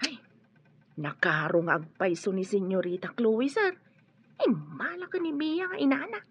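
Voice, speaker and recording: A woman's voice: a little high, big pitch swings, a little slow, breathy edge, exaggerated voice. A woman with an Ilocano accent playing a flustered, deferential housemaid who speaks hesitantly and plaintively in a radio drama. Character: flustered, deferential housemaid complaining of her spoiled young mistress. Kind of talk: Filipino radio drama acting. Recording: dull noisy radio audio.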